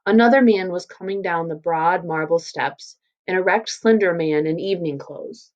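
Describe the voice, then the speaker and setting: A woman's voice: on the low side, normal speed, highs cut off. A woman teacher reading a story aloud and explaining it in an informal way. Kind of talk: read-aloud lesson with casual explanatory commentary.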